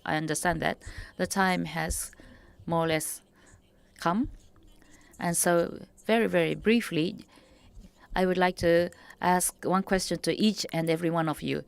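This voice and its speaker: A woman giving simultaneous interpretation of a panel discussion, with measured, slightly stilted phrasing. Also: low voice, clean tone.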